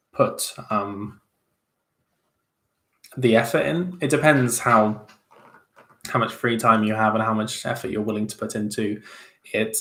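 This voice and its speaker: A man's voice: lowish voice, fairly bright tone. A young man chatting casually as he answers viewer questions on a livestream, self-deprecating, with many fillers and restarts. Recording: roomy echo.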